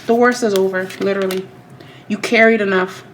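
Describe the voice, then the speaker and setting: A woman's voice: medium pitch, fairly even intonation, a little fast, a little hiss or hum, rough recording. A woman doing a tarot reading straight to her viewers, talking emphatically and repeating herself. She is still getting over a cold. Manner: repetitive, emphatic, speaks to viewers directly. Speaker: tarot reader. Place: home room.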